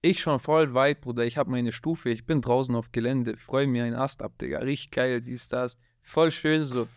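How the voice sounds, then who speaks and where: Man, animated storyteller, dry close studio mic, mid-pitched. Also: normal speed, no room sound. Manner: street slang, constant "Bruder", animated storytelling. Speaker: guest, rapper. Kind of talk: casual interview storytelling. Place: dark studio with blue lights. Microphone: studio mic on boom arm.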